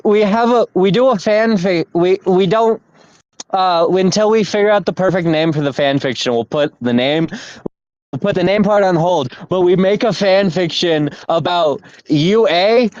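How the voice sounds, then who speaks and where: A man's voice: sits high, moderate pace, a little mellow, no echo, dull audio. A man co-hosting a casual podcast chat, talking in a laid-back, rambling way and laughing often. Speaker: podcast co-host.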